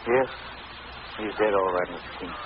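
An actor playing a weary, resigned country doctor in a 1940s radio drama, grave in manner. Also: male voice, sits low, moderate pace, close to an everyday voice. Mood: grim resignation. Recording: muffled, noisy old radio recording.

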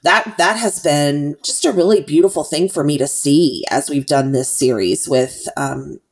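A woman with a low, unhurried voice co-hosts a podcast while congested, her words coming through her nose. She is reflective and halting, often starting a phrase again before finishing it. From Tennessee. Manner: warm, reflective, halting with restarts. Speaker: podcast co-host. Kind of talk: casual podcast chat.

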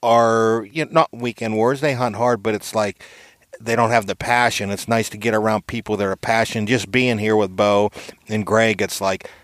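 A man's voice: on the low side, steady tone, moderate pace, no echo, clean studio sound. A man talking in a folksy, rambling casual way, often saying "you know". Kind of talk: casual chat. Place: outdoors by a campfire.

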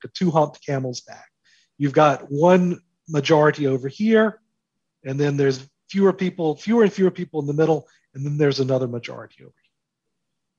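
A man's voice: a little high, husky, no room sound, dull audio. A man speaking as an interview guest, explaining his commentary with analogies, rhetorical questions and emphatic repetition.

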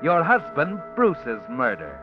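A man playing a sly, mysterious narrator of an old radio thriller, his slightly high voice rising and falling as he insinuates. The sound is dull and old, with music playing behind him. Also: wildly swooping pitch, takes it slowly, full character voice. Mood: knowing, ominous. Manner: insinuating second-person narration. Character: mysterious male narrator speaking to the listener. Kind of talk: 1940s radio drama narration.

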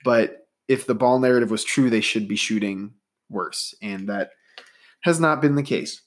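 A man's voice: mid-range voice, normal speed. Stuffy-nosed man talking sports casually. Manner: conversational, rambling, data-minded. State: stuffy nose. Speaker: podcast host. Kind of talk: casual solo sports podcast.

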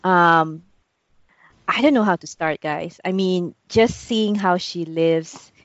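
A woman from Singapore talks in a lowish voice, hesitant and often restarting her sentences. The sound is dry and dull. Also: takes it slowly, no echo. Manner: hesitant, many restarts and fillers. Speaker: podcast co-host. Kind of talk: casual chat.

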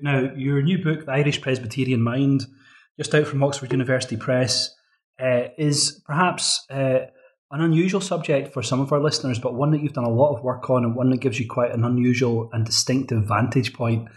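A man's voice: mid-range voice, steady tone, a little fast. A man hosting an interview podcast, polite and formal as he introduces his guest. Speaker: podcast host. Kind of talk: interview host. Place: university office. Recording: roomy echo.

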